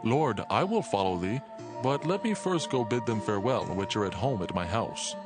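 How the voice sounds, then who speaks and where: A man's voice: fairly low pitch, lively intonation, moderate pace, close to an everyday voice. An actor playing a hesitant male follower, deferential and entreating, in a dramatized scripture reading. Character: hesitant would-be follower politely asking for delay. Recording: loud music over the voice.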